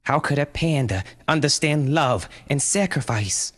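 A man voicing a frantic young mother who stammers and pleads in a high, strained voice. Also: animated, unhurried. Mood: defiant.

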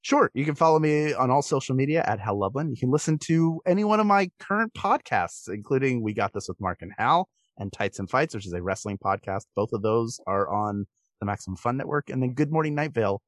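A man's voice: mid-range voice, big pitch swings, brisk, no room sound. Male podcast guest, mid-range voice, conversational, recorded dry.

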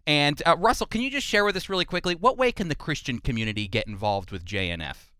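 A man's voice: on the high side, lively intonation, quick pace, pushed and bright, smooth, no room sound. A man hosting a radio interview, courteously addressing his guest and his listeners. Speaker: radio host. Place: radio studio.

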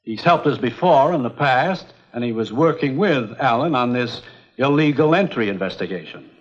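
An actor playing an earnest, authoritative commissioner in a 1950s adventure drama. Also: male voice, mid-range voice, expressive, moderate pace, close to an everyday voice. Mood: grave, urgent. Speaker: commissioner giving orders. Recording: dull, muffled 1950s soundtrack.

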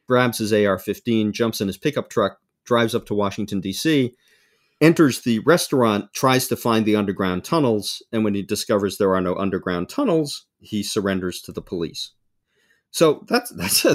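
A man, a professor, telling stories in an interview, relaxed and explanatory with frequent fillers. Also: fairly low pitch. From Denmark and US. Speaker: folklore professor, guest. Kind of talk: interview storytelling.

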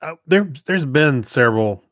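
A man's voice: a little high, lively intonation, moderate pace, a bit bright, no echo, clean studio sound. A middle-aged man co-hosting in casual chat, adding easygoing, jokey asides. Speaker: podcast co-host.

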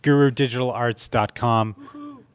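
Male podcast interviewer, mid-pitched voice, informal and joking, conversational delivery. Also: steady tone, measured pace, clear, resonant tone. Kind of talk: casual chat.

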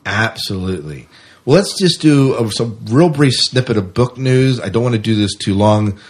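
Guy hosting a podcast, kinda low voice, just chatting casually. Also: animated, moderate pace. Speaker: podcast host. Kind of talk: casual interview chat.

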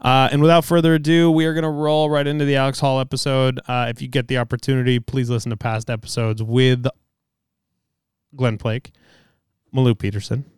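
A man's voice: mid-range voice, little pitch movement, brisk, clear, resonant tone, dry recording, clean studio sound. A man hosting a podcast, talking loosely with lots of fillers and swearing, and tired after a long day. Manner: loose and profane, many fillers. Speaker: podcast host. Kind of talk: casual podcast talk with ad reads.

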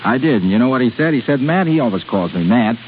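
Casting: male actor as breezy, self-assured business rival, animated and cocky, for an old tinny radio play with light crackle. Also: mid-range voice, quick pace, close to an everyday voice. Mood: smug. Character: brash, cocky, quick-witted male shipping rival. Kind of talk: 1930s radio acting.

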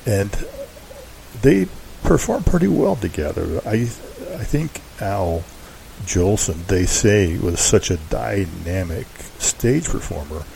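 Gravelly mellow guy introducing old radio shows, rambles and hedges, unscripted, bit of hiss behind him. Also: sits low, low-quality recording. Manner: halting, rambling, hedging. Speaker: host introducing an old-time radio show. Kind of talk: casual unscripted background commentary. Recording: faint background hiss.

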